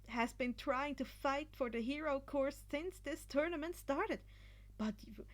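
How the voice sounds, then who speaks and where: Woman as earnest schoolboy tactician: high voice, slow pace, polite but set on winning. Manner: earnest, persuasive. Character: earnest, determined teenage boy strategist, persuasive and polite. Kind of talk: fan fiction podfic character voice.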